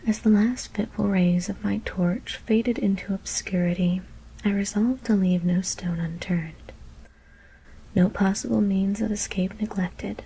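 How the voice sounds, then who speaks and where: A woman whispering a scary story in ASMR style, reading in a breathy, deliberate, literary manner. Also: medium pitch, steady tone, dark tone, rough recording. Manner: measured, deliberate literary narration.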